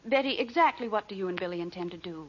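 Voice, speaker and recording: A woman's voice: medium pitch, expressive, quick pace, natural voice. An actress playing a sensible middle-aged wife and mother, dry and composed, in a 1940s radio comedy. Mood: wry, composed. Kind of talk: 1940s radio sitcom acting. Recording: dull, noisy old radio recording.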